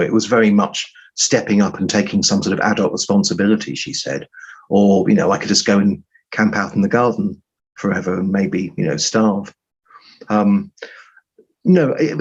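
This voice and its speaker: A man telling stories as an interview guest in a halting, rambling, self-deprecating way, on muffled audio. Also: on the low side, breathy edge. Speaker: guest writer. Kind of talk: conversational interview storytelling.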